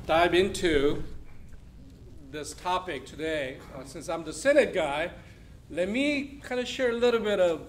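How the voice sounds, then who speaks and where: A man from South Korea, a church official giving a loud, chatty talk to a congregation in a church hall. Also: sits high. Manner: loud, projecting, chatty with the audience. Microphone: weak room microphone.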